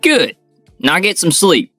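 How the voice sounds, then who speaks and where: A man voicing a young surgeon in a manga dub, talking in a firm, scolding but concerned way, in a higher voice than his own. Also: very animated, takes it slowly, bright, forceful tone, soft background music, heavily acted. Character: firm, caring young male surgeon friend.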